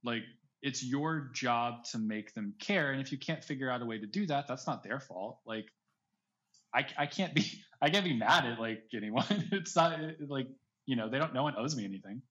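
Male interview guest, informal and conversational, mid-pitched voice, slightly lo-fi recording. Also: expressive, moderate pace, a bit bright. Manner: informal, heavy use of "like" and "right". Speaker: guest, NFT project creator. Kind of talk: casual interview talk.

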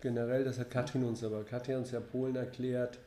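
A man's voice: medium pitch, little pitch movement, quick pace, mellow, roomy sound, a little hiss or hum. An older grandfather talking as a podcast guest, hesitant and thinking aloud with many fillers. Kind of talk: casual conversation.

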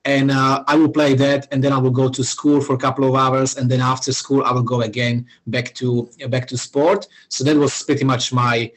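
A man from Slovakia with a Slovak accent, answering interview questions in a halting way with many pauses and restarts. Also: medium pitch, level tone, low-quality recording. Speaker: podcast guest, founder.

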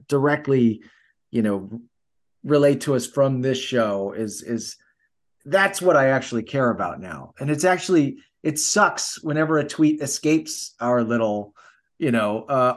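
A man co-hosting a podcast in casual chat, talking in a hesitant, rambling way. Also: mid-range voice. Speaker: podcast co-host.

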